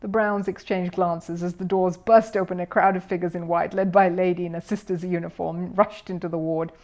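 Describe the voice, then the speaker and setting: A woman's voice: lowish voice, fairly even intonation, fairly quick, a little mellow. A woman narrating a children's storybook as a scripted reading, in the role of storyteller. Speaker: storyteller, audiobook reader.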